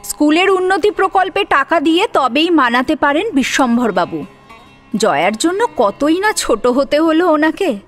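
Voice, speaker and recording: A woman's voice: sits high, very animated, normal speed, faint music behind. A woman narrating a serialized story dramatically, as its storyteller.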